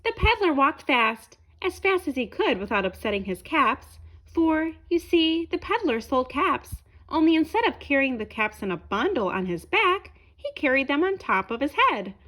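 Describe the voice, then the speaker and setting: A woman narrates a children's picture book in a high voice. Her delivery is highly animated and swings widely in pitch, with deliberate, expressive phrasing meant for young listeners. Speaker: storyteller reading a picture book aloud. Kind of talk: children's read-aloud narration.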